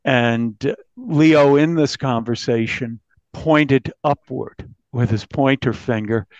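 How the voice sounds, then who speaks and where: A man's voice: mid-range voice, takes it slowly, dry recording, highs cut off. An American man recounting his investigative reporting conversationally as an interviewed journalist.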